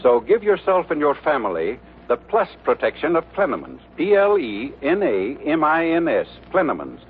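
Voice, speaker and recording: A man's voice: fairly high pitch, sing-song, moderate pace. A man with an American accent reading a commercial as a 1950s radio announcer. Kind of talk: 1950s radio advertising read. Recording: tinny old radio broadcast, faint noise.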